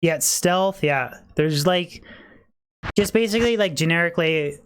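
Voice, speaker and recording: A man's voice: a little high, moderate pace, fairly bright tone. A man livestreaming a game with casual, rambling, slangy commentary, self-deprecating, his voice a little tired. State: voice tiring. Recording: clearly noisy background.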